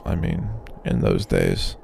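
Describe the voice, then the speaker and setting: A man voicing an ancient, proud, weary male dragon in a possessive roleplay ASMR monologue, deep and gravelly, musing haltingly as he broods. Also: very animated, a little mellow, slight background noise, full character voice. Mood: reminiscing, scornful.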